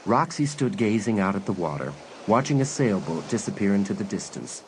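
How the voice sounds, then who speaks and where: A man's voice: on the low side, very animated, fairly quick, a little hiss or hum, low-quality recording. A man narrating a dramatised audiobook as a scripted storyteller.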